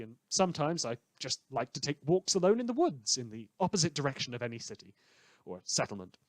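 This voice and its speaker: A man playing a cynical, misanthropic radio host in a post-apocalyptic fan audio drama, speaking sardonically with dramatic, halting pauses. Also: mid-range voice, no room sound, lightly acted. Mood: halting, wistful. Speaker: fictional radio show host.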